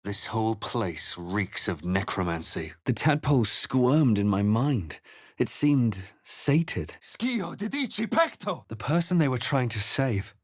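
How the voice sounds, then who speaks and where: A man voicing a brooding fantasy adventurer in a video game, speaking in a measured, earnest, theatrical way. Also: medium pitch, very animated, no echo, clean studio sound. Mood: solemn, tense. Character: brooding male fantasy adventurer hero, narrating his visions and deeds. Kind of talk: dramatic video game voice acting.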